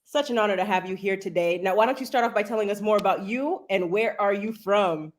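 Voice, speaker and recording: A woman's voice: mid-range voice, fairly quick. A woman hosting a podcast, introducing and welcoming her guest and asking questions. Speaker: podcast host. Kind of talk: podcast interview.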